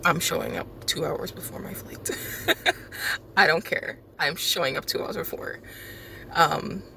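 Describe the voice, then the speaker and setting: A woman's voice: low voice, unhurried, creaky, bright, forceful tone, a little hiss or hum. Rambling, sleep-deprived young woman vlogging. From South Florida. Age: 20s. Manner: rambling and chatty with many fillers. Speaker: vlogger and night shift nurse. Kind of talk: casual vlog chat. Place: airport terminal.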